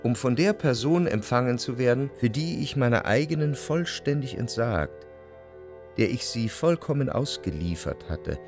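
An actor playing an introspective, jealous lover who narrates his memories in a measured, literary radio drama style. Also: medium pitch, animated, music in the background, lightly acted. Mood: reflective, brooding. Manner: reflective, measured literary delivery in long sentences.

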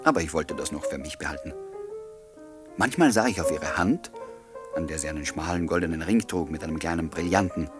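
A man's voice: very deep voice, a little fast, music in the background. An actor playing a smitten, earnest male writer, gallant and musing, in a German radio play. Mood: musing, confiding.

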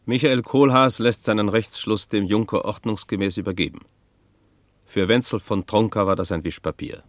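An actor playing a sober chronicler, narrating a radio play in a measured, matter-of-fact way. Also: male voice, lowish voice, fairly bright tone, dry recording. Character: sober male chronicler reporting a rebel's case.

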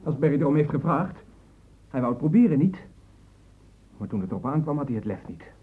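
On a muffled, phone-like radio-play recording, a cornered young man with a husky voice. He is touchy and evasive, protesting in halting phrases with a highly animated, swinging intonation. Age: young adult. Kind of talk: Dutch radio drama acting.

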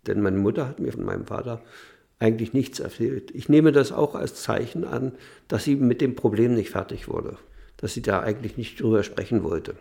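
An older man in an interview, recalling his past in a hesitant, halting way. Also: mid-range voice, gravelly, dark tone. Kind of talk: interview recollection.

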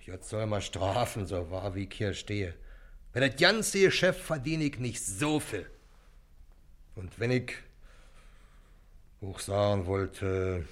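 A man's voice: fairly low pitch, a bit bright, exaggerated voice. An actor playing a wheedling, middle-aged river boatman and shady dealer in a radio play, speaking colloquial Berlin dialect. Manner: colloquial, grumbling, insistent bargaining.

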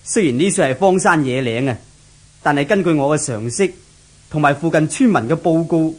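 Polite police officer, slightly high voice, Hong Kong Cantonese, measured, explanatory, vintage radio drama. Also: male voice, animated. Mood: inquiring. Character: courteous, careful male police officer at a crime scene.

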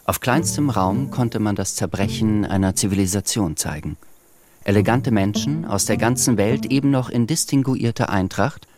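A man's voice: fairly low pitch, moderate pace. A man giving scripted literary narration in a radio drama.

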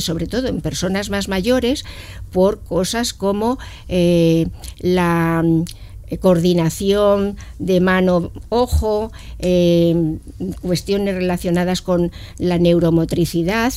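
A woman's voice: lowish voice, slow pace, warm tone. A woman speaking for a community association in a radio studio interview, explaining earnestly with frequent hesitations. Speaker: association representative.

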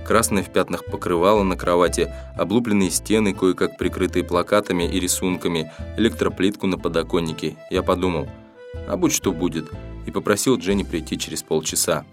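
Deep-voiced man reading a first-person novel. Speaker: audiobook narrator.

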